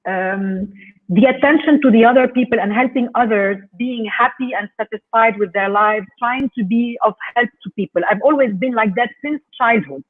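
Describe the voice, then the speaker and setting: A woman who grew up in Lebanon talks in an earnest, measured way, choosing her words with care. Her voice comes through thin and narrow, like a phone line, as she speaks on a video call. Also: a little high. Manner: earnest, measured, careful phrasing. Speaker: guest speaker. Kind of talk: reflective personal talk on a video call. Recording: tinny phone-like call audio.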